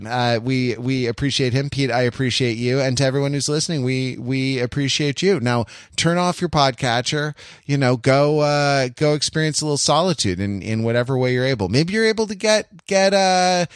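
A man's voice: mid-range voice, expressive, clear, resonant tone, no echo. Rambling man podcasting, dry close sound. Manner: rambling, halting, circles back repeating phrases. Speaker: podcast host. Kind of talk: casual reflective podcast chat.

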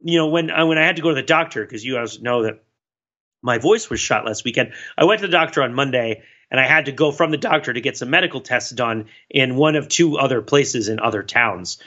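A man's voice: medium pitch, fairly quick, sharp tone, highs cut off. A man co-hosting a podcast in casual conversation, talking in a wry, discursive way. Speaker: podcast co-host.

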